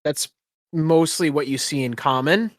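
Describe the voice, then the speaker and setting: A man acting as game master, improvising descriptions for a tabletop fantasy game in a halting, piecemeal way. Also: on the high side, no room sound, clean studio sound.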